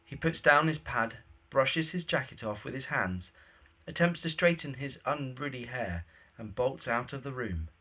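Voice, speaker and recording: A man's voice: medium pitch, lively intonation, measured pace, pushed and bright, husky. A man giving scripted narration of a story, setting each scene in the present tense.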